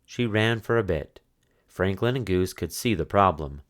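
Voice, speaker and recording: A man reading a children's picture book aloud as narrator, in expressive storytelling style. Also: low-pitched, moderate pace.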